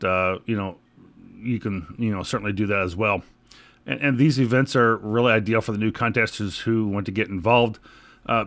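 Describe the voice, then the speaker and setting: A man's voice: mid-range voice, bright, forceful tone, no room sound. A man hosting a solo podcast, talking in an informal, rambling, conversational way. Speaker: podcast host. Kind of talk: casual solo podcast talk.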